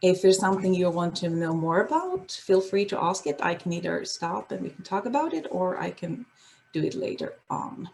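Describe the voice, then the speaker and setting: A woman from Sweden with a Swedish accent, presenting on a video call in a casual, halting way, with many fillers and restarts. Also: lowish voice, very animated, gravelly, a little mellow, slight background noise, low-quality recording. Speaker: guest presenter. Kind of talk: casual online talk with slides.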